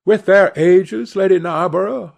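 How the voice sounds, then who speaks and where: A man's voice: high-pitched, unhurried, vocal fry, voice pushed up, full character voice. A man voicing a chatty older society hostess in a storybook reading, theatrical and exclamatory. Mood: chiding.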